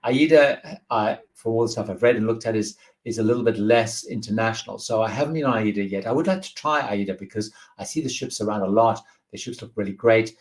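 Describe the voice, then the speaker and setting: A man's voice: lowish voice, fairly quick, hardly any pauses. A man hosting a live question-and-answer stream, casually reading out viewer questions and giving rambling, friendly advice. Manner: rambling, many fillers and restarts, friendly advice. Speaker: host of a live cruise Q&A.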